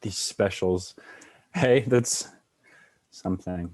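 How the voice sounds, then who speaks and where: A man acts an easygoing young male friend in a comedy script read. His voice is on the low side, his lines laconic. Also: slow, a little mellow. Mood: casual, dry. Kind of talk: screenplay table read.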